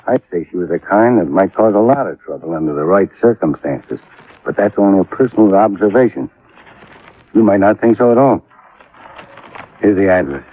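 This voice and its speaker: An American actor playing an easygoing, laconic police lieutenant in a 1950s radio drama, unhurried and noncommittal, with a husky voice. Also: male voice, low voice, animated, mellow. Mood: guarded, knowing. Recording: dull vintage radio recording.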